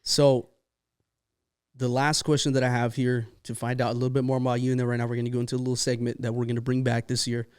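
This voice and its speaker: Chatty male podcast host, mid pitch. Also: level tone, fast, darkish tone, clean tone. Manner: upbeat, chatty, repeats guest's answers. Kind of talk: casual interview chat. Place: podcast studio.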